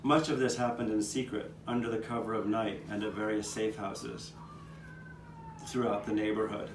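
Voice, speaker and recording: A low-voiced man narrates history as an eyewitness in a live puppet show. He is heard from some way off, with roomy echo and clear background noise. The delivery is that of a storyteller addressing onlookers. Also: little pitch movement, normal speed. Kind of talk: first-person storytelling of local history, performed. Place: outdoor courtyard performance. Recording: roomy, distant, noisy live courtyard recording.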